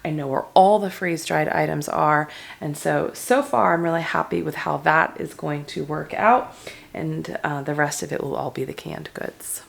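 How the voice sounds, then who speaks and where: A hoarse woman with a low, rough, fry-laden voice talks casually through her home pantry project. She rambles a bit and fills gaps with ums, sounding like she's nursing a cold. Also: steady tone, moderate pace. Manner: chatty, rambling with many ums. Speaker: homestead vlogger. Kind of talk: casual walkthrough vlog. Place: converted dining room pantry, indoors.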